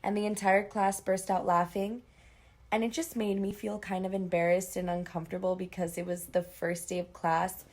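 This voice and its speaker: A woman from Spain recounting a memory from a university class in an even storytelling way. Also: on the low side, normal speed. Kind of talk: personal account.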